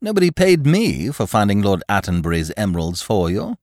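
A flippant, cheerful young aristocrat, as a male reader voices him. He talks in a breezy, teasing, sing-song way, and his pitch swoops widely from phrase to phrase. The recording is dry and close. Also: wildly swooping pitch, fairly quick, darkish tone, no echo. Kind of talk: audiobook reading.

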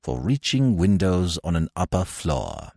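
A man's voice: low voice, sing-song, unhurried, creaky, a little mellow, breathy edge, no echo, studio quality, heavily acted. A man voicing an irritable, commanding male investigator in an audiobook story, snapping out demands with fierce intensity.

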